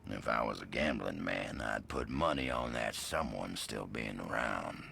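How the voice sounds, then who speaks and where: An actor playing a grim, world-weary American drifter with cowboy swagger and a gravelly, folksy, ominous drawl in a video game cutscene. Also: male voice, very deep voice, measured pace, pushed and bright, a little hiss or hum, full character voice.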